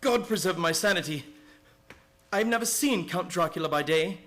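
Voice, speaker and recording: A man acting an anxious gentleman held prisoner, speaking in earnest, formal, dramatic fashion in an old-time radio horror play. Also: high-pitched, moderate pace. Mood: fearful, haunted. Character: anxious English gentleman, prisoner narrating his diary.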